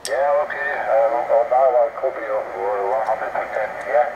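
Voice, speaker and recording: Slovenian-accented male ham operator, mid pitch, halting delivery, dull shortwave reception buried in heavy static. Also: fairly even intonation, gravelly. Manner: halting, hesitant phrasing. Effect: heard through shortwave radio receiver. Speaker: amateur radio operator. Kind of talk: casual ham radio contact. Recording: very noisy, band-limited shortwave radio reception.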